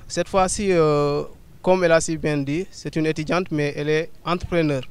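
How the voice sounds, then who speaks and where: Formal male host, smooth voice. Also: a little high, steady tone, normal speed. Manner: formal, hesitant, many filler sounds. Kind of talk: interview hosting.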